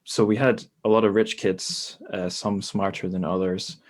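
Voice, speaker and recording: Slightly low-voiced man hosting casually. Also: little pitch movement, normal speed. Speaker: podcast host. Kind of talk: casual chat.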